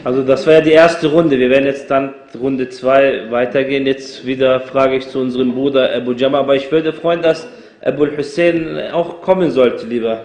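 A man's voice: medium pitch, steady tone, normal speed, a little mellow. Male panel moderator in echoey hall. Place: wood-panelled hall, indoors. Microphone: table microphone. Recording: echoey hall, somewhat distant.

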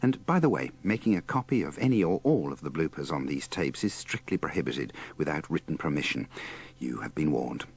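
A man's voice: a bass voice, expressive, a little fast, gravelly, husky. A man presenting a compilation, delivering scripted narration in a dry, tongue-in-cheek way.

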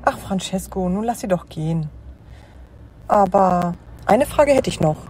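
An actress playing a firm, inquisitive mother in an audio drama, with a soft-toned husky voice. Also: medium pitch, lively intonation, unhurried, low-quality recording. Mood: firm, probing. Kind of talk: German audio drama acting.

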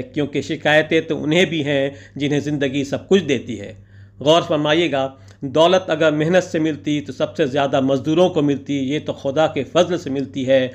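Male vlog narrator, slightly raised pitch, ornate poetic delivery. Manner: flowery Urdu-laced phrasing with couplets and praise. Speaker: vlogger narrating a food outing.

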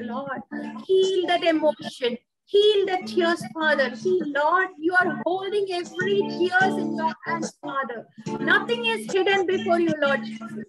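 A woman leading fervent spontaneous prayer at a live gathering, repeating her phrases and ending each with a soft, dropping call to the Lord. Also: poor audio. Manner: repetitive, ends phrases with soft low 'Lord Jesus'. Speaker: prayer minister.

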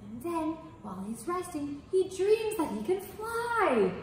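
A woman in her thirties teaches a kids' music lesson with a high, swooping voice. She talks with enthusiasm and exclaims often. Heavy echo fills the room, with a faint hiss behind her. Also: very animated, a little slow. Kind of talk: teaching children. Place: studio in front of green screen.

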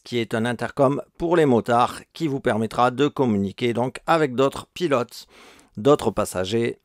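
Male narrator for scripted product review voice-over, mid-range pitch, very dry close sound. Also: a little mellow, dry recording.

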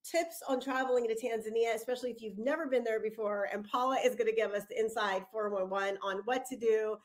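A woman's voice: fairly high pitch, brisk. Bubbly upbeat woman podcast host. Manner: bubbly, enthusiastic, laughs easily. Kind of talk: casual podcast interview.

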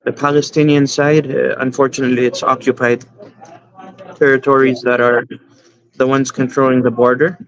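Earnest man, flat voice, dull call audio. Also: medium pitch, crowd noise. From Canada. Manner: earnest, measured, with frequent fillers. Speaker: imam, guest. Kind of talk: interview answers. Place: home room, indoors. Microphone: video call.

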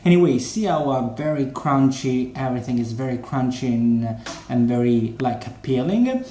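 A man in his forties with a Sicilian Italian accent, hosting a casual home cooking demonstration, unhurried with many hesitations. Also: medium pitch, lively intonation, mellow, echoey room, a little hiss or hum. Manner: unhurried, hesitant with many fillers, relishing tastes. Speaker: cooking show host. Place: home dining room, indoors.

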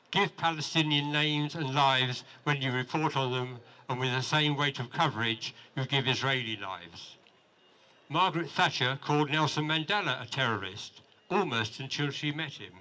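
A man's voice: medium pitch, sharp tone, low-quality recording. A man in his seventies reading a prepared statement aloud at an outdoor street rally, speaking into a handheld microphone. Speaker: campaign group officer reading a letter. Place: outdoors in a busy city street. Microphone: handheld microphone held close to the mouth.

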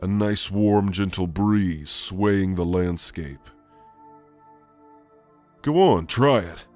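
Casting: male actor as soothing male shade demon for ASMR roleplay, deep and unhurried, soft halting delivery. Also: faint music behind. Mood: gently coaxing. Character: gentle male shadowy sleep demon, a shade. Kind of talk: ASMR roleplay, spoken to the listener.

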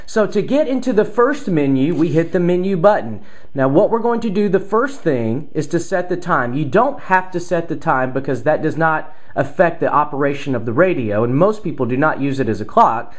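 A man's voice: a little high, animated, moderate pace. A man in his thirties giving patient, emphatic step-by-step instruction as a presenter. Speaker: presenter, television meteorologist. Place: small indoor office, echoey. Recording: roomy echo.

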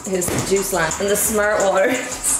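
A woman's voice: medium pitch, fairly even intonation, a little slow. A woman in her twenties chatting casually and playfully as she co-hosts a livestream, with a teasing manner. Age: 20s. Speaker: co-host of a cooking livestream. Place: indoor home kitchen. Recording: echoey kitchen with music.

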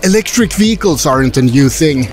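Lively, high-voiced man in his forties. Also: fairly quick, music in the background. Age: 40s. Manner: upbeat, chatty, asks visitors questions. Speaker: travel vlogger. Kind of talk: casual vlog with voice-over narration. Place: busy indoor car expo hall. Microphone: handheld furry mic close to the face.

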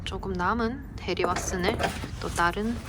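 A woman narrating a plant vlog in a gentle, unhurried way with small hesitations. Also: medium pitch. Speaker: succulent vlogger. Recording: noisy home recording.

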